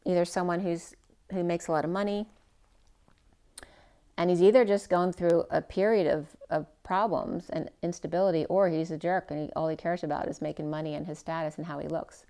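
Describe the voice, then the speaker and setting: A woman's voice: fairly low pitch, little pitch movement, brisk, warm tone, smooth. A woman giving a casual tarot reading who is losing her voice. She thinks aloud, trails off and restarts her sentences. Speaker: tarot reader.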